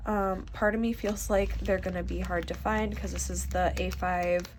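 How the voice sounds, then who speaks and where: A woman's voice: lowish voice, fairly even intonation, moderate pace, a little mellow, a little hiss or hum. A young woman from Michigan with a stuffy nose, chatting casually and rambling while she sorts collectible cards for her channel. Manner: rambling, self-deprecating, chatty. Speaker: vlogger.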